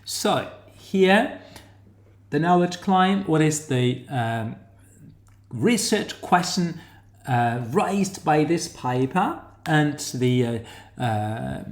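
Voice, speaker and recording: Slow, halting New Zealand man lecturing. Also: fairly high pitch, a little mellow, reverberant. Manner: halting, pauses to find words, explanatory. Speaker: academic lecturer. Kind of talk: screen-share tutorial lecture.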